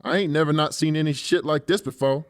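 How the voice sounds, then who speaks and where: A man's voice: a little high, steady tone, quick pace, no echo, studio quality, close to an everyday voice. A man playing a large, theatrical, sadistic professional torturer in a dramatized audiobook. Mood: taunting glee. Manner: slangy, showy and taunting. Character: large, gleefully sadistic professional torturer who plays the comedian. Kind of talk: dramatized audiobook character acting.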